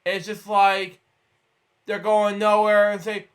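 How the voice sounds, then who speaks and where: A man with a high-pitched voice reads a children's story aloud. His tone is bright and forward. He reads haltingly, tripping on words and drifting into little repeated comments between sentences. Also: completely flat delivery. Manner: halting, stumbles over words and breaks off for repeated asides. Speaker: storybook reader.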